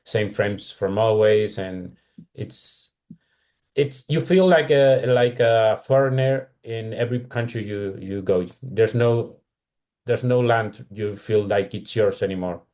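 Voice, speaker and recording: A man's voice: fairly low pitch, takes it slowly, a little mellow. A man in his forties from Argentina with a Spanish-speaking accent, chatting casually and reflectively as an interview guest. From Argentina, also California and Spain. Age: 40s. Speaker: podcast guest, comic artist.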